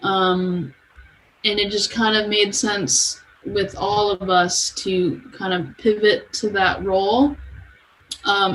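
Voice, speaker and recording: A woman's voice: medium pitch, a bit bright, rough recording. A woman explaining things informally, as a guest in a casual interview. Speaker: podcast guest, music company manager. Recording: clear background noise.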